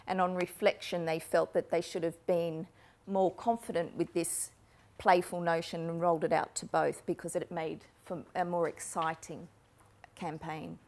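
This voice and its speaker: A woman's voice: lowish voice, flat delivery, moderate pace, a little mellow. A woman in her forties giving a conference talk in a lecture room on a lapel mic, explaining steadily with frequent ums. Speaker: keynote speaker on marketing. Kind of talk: conference presentation. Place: lecture room with a projector screen.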